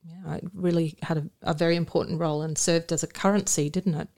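A woman's voice: low-pitched, steady tone, fast talker, a little mellow. A middle-aged woman co-hosting a podcast in a casual, conversational style. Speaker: podcast co-host.

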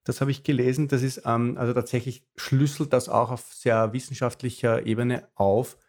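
A man's voice: medium pitch, flat delivery, moderate pace, darkish tone. A man hosting a podcast who chats casually, jokes about himself and keeps the conversation on track. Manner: chatty, self-deprecating, steers the talk. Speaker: podcast host.

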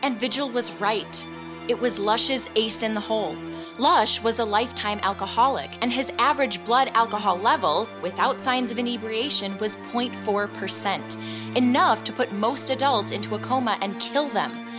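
A woman playing a composed narrator who recounts a superhero case in measured, expository, scripted documentary style. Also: a little high, over music, close to an everyday voice. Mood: composed, explanatory.

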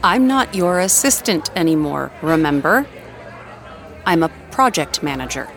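A woman's voice: low-pitched, very animated, a little slow, fairly bright tone, some chatter behind, exaggerated voice. An actress playing a guarded, defiant female project manager in naturalistic audio drama, with a terse, pointed way of talking. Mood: defensive.

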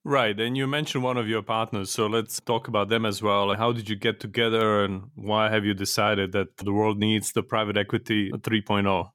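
A man hosting a podcast interview, conversational and halting, often restarting his questions. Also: lowish voice, little pitch movement, a bit bright, no room sound, clean studio sound. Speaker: podcast host.

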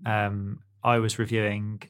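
A man's voice: on the low side, monotone, unhurried. Chatty man rambling about bike tech. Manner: rambling, self-deprecating, many fillers. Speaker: technical editor, co-host. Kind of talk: casual technical chat.

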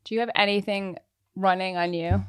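A woman's voice: medium pitch, fairly even intonation, fairly quick, clean tone, no room sound. Woman podcast host, close dry sound. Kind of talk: casual podcast chat.

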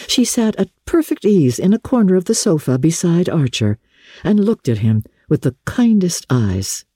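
Expressive woman narrator, husky and mellow. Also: fairly low pitch, dry recording, clean studio sound. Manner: measured, expressive storytelling. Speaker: audiobook narrator and radio presenter. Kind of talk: scripted dramatic reading of a classic novel.

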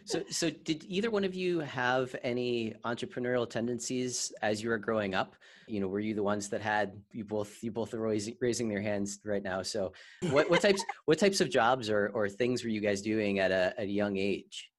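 A man's voice: lowish voice, normal speed. A man hosting a podcast in a conversational interview style. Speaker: podcast host.

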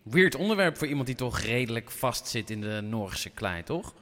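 A wry, animated city guy. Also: medium pitch, normal speed. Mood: teasing. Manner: dry, teasing, casual. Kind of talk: naturalistic Dutch audio drama dialogue.

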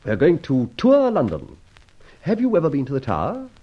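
An actor playing a suave, self-amused gentleman adventurer in an old radio serial, speaking in an arch, playfully pompous, theatrical way. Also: a little high, huge pitch swings, normal speed. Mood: gleeful, boastful. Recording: dull lo-fi old radio recording, faint music.

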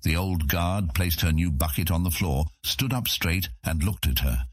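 A man with a husky voice reading scripted fantasy narration as an audiobook narrator. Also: low voice, normal speed, no room sound.

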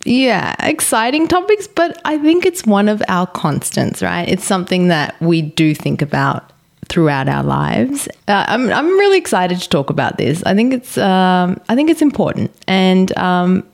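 A woman in her early forties co-hosting a podcast, chatting in a thoughtful way with frequent pauses and fillers. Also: fairly low pitch, big pitch swings, creaky. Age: 40s. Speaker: podcast co-host. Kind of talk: casual chat.

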